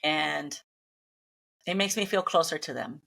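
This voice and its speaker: A woman's voice: low-pitched, animated, unhurried, vocal fry, fairly bright tone. A middle-aged woman whose first language is Spanish, speaking earnestly and upbeat as a guest in a casual interview. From Mexican family, grew up in Los Angeles.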